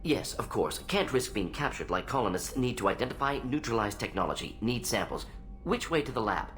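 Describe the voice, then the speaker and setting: An actor playing an eccentric alien scientist in a video game, analytical, speaking in clipped rapid-fire fragments. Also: male voice, medium pitch, sing-song, fairly bright tone, soft background music. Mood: brisk, pleased. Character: fast-talking alien salarian scientist, brisk, analytical, eccentric. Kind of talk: video game character acting.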